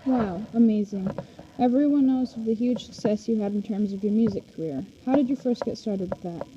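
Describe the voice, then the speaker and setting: A woman's voice: medium pitch, brisk. A woman hosting a 1940s-style radio interview, reading out prepared questions. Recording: dull recording with faint noise.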